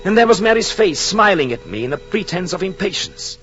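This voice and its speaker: An actor playing an earnest, brooding European husband and expectant father who narrates his journal in solemn, literary, measured 1940s radio drama style. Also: sits high, sing-song, muffled recording. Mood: astonished wonder. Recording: old 1940s radio, music behind.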